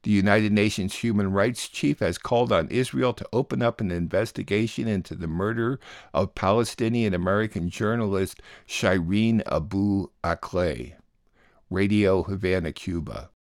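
A man's voice: fairly low pitch, moderate pace, dry recording, studio quality. A man hosting a radio program, presenting news summaries and listening notes in scripted narration. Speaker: radio show host and producer.

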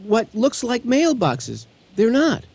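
An actor playing an earnest, deluded, courtly bridegroom in a comic radio drama, hesitant and formally polite. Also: male voice, very high-pitched, huge pitch swings, slow pace, creaky, a little mellow, heavily acted.

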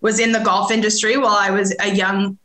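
Upbeat woman in her late 30s, a podcast guest, bright tone, roomy echo. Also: mid-range voice, little pitch movement, fairly quick. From Canada. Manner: upbeat, chatty, laughs easily. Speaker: guest, confidence and success coach. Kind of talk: casual interview chat.

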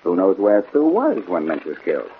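Cocky sleuth, American accent, very lively pitch, wisecracking, old muffled radio broadcast. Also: male voice, slight background noise, exaggerated voice. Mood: probing. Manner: brisk, wisecracking. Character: cocky quick-witted male amateur detective, needling the police. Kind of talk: 1940s radio detective acting.

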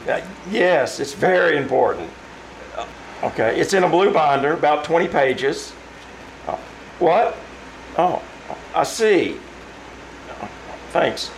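A man's voice: fairly high pitch, expressive, takes it slowly, gravelly, long pauses, exaggerated voice, poor audio. A man playing a concerned, insistent office colleague in an old-time radio drama, emphatic and urgent. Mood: urgent, surprised.

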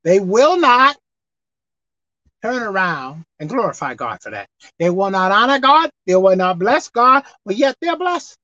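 A woman preacher delivering a sermon with animated, call-and-response delivery, acting out bits of dialogue. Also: mid-range voice, a little slow, dry recording. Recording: dull lo-fi dry sound.

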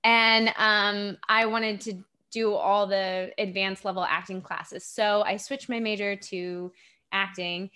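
A woman's voice: medium pitch, steady tone, takes it slowly, sharp tone, smooth. A woman in her thirties, raised in Japan, chatting as a guest on an interview show, chatty and self-deprecating with frequent laughs. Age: 30s. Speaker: guest, actor and filmmaker. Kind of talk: casual interview chat.

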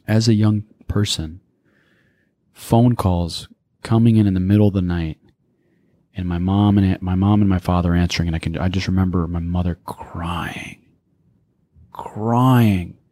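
An American man around forty, reflecting on his life as an interview guest in a measured, deliberate way. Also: low-pitched, fairly even intonation, mellow, dry recording. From United States, raised in small-town Pennsylvania. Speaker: podcast guest, speaker. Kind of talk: reflective personal storytelling in an interview.

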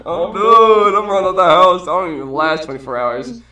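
A man's voice: high-pitched, brisk, pushed and bright. A man chatting casually as a guest, talking in loose slang and plenty of swearing. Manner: slangy, profane, addresses listener as bro and dude. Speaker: podcast guest. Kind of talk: casual chat. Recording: roomy, distant mic, crowd-like background noise.

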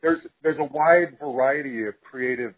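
A man's voice: medium pitch, moderate pace, slightly rough. A man interviewing casually, with informal, rambling questions that he rephrases as he goes. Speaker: podcast interviewer. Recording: tinny band-limited audio.

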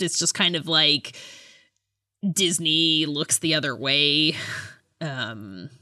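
A woman's voice: low voice, sharp tone, dry recording, clean studio sound. A woman co-hosting a podcast in casual fan chat, chatty and rambling, often hedging and trailing off. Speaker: podcast co-host.

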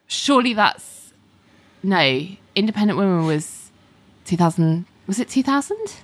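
A woman co-hosting a podcast in casual chat, sleep deprived and quick with short quips. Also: mid-range voice, sing-song. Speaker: podcast co-host.

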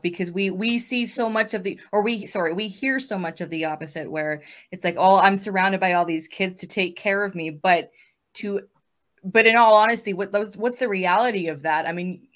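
A woman's voice: mid-range voice, moderate pace, fairly bright tone. A woman in her thirties moderating a casual online panel, chatty and upbeat, laughing easily between questions. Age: 30s. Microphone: video call.

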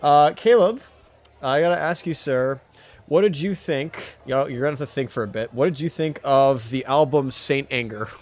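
Male podcast host, average pitch, informal banter, steering the chat. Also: normal speed, darkish tone. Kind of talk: casual banter, prompting co-hosts.

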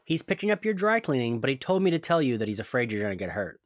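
A performer reading a caring, low-key young male werewolf boyfriend, plain-spoken, in a script table read. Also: big pitch swings, fast talker, no room sound, clean studio sound, lightly acted. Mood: concerned.

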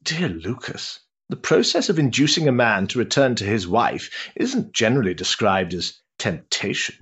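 An actor playing an urbane, cynical older aristocrat in a period stage drama, pompous and sardonic in ornate phrasing. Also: male voice, medium pitch, animated, moderate pace, fairly bright tone, breathy edge, lo-fi sound, exaggerated voice. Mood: ironic, amused.

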